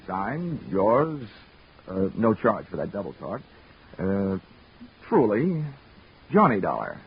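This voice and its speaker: A man's voice: fairly low pitch, huge pitch swings, slow pace, a little hiss or hum, full character voice. An actor playing a wisecracking male insurance investigator, narrating in a dry first-person 1940s radio drama style. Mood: wry. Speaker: lead actor. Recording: dull old radio recording with music.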